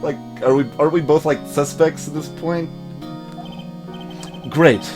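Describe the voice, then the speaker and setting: A man's voice: on the high side, fairly even intonation, unhurried. A man hosting a video game let's play, mixing acted dialogue with joking, digressive asides. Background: soft game music.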